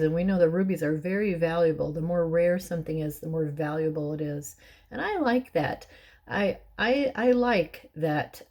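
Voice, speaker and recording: A middle-aged woman with a hoarse, raspy voice, clearing her throat often and chatting with soft laughs as she teaches a Bible lesson. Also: deep voice.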